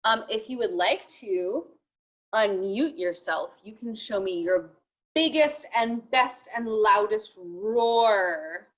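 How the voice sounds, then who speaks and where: A woman reading a picture book aloud to young children in an expressive, encouraging way, talking directly to them. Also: mid-range voice, slow pace.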